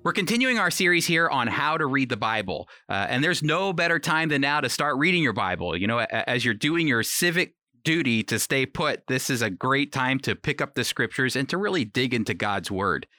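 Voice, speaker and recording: A man's voice: medium pitch, very animated, brisk, few pauses, bright, forceful tone, dry recording, clean studio sound. A man hosting a radio program, teaching in an earnest, encouraging, conversational way and speaking directly to listeners. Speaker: radio host and Bible teacher. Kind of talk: conversational Bible teaching and commentary. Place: remote home recording, dry.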